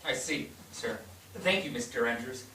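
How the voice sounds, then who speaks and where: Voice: mid-range voice, animated, moderate pace, pushed and bright. A performer playing a polite, defiant twelve-year-old immigrant boy in a staged reading. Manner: respectful, clipped answers, stubborn when pressed. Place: theatre stage. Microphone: distant microphone. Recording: distant, echoey stage with noise.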